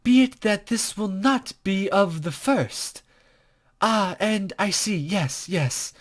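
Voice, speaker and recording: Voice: medium pitch, animated, slow pace, pitched above the performer's own voice. A game player voicing a mysterious, playful woman from a visual novel, with teasing, archaic phrasing. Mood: enigmatic. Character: mysterious soothing woman, playful, enigmatic, selfishly impatient. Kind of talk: visual novel dialogue read aloud.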